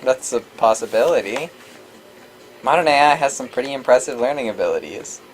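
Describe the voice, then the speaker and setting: A woman co-hosting a let's play, reading game dialogue aloud in a casual, animated way. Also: rumbling low, a little fast, poor audio.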